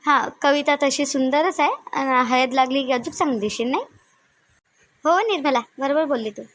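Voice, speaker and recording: Woman acting a high-pitched, chatty Varhadi village woman. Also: normal speed, fairly bright tone, a little hiss or hum. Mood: chatty, bossy. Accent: Varhadi Marathi of Vidarbha. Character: chatty, bossy village women at a wedding ritual. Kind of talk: cartoon comedy dialogue.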